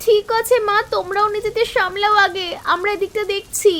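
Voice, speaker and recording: Motherly village auntie, extremely high voice, calling out, concerned, cartoon dialogue, rain sounds behind. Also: steady tone, fairly quick, fairly bright tone. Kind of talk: animated story dialogue.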